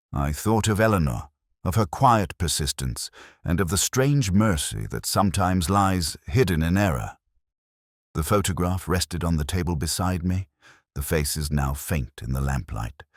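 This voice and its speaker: A man playing a melancholy, reflective police detective, narrating an audio drama in a measured, literary manner. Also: very deep voice, no echo, studio quality, exaggerated voice. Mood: wistful. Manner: measured, literary, brooding delivery. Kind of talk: scripted first-person audio drama narration.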